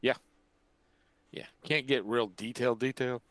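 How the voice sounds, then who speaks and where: A man's voice: mid-range voice, steady tone, unhurried, fairly bright tone, dry recording. A man in his fifties chatting casually as a podcast co-host, blunt and wisecracking. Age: 50s. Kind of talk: casual chat.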